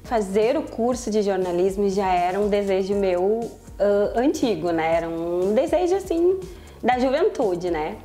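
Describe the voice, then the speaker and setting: A woman from southern Brazil, a journalism student speaking relaxed in an interview. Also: mid-range voice, unhurried, faint music behind. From Porto Alegre, Brazil. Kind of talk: interview testimony.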